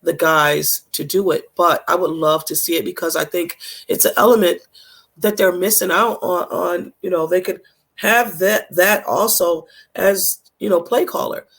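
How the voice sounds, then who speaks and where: A co-host in a relaxed sports chat whose voice is hoarse and nearly gone. The sound is gravelly and rough, and the opinions come out blunt and emphatic. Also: mid-range voice, moderate pace.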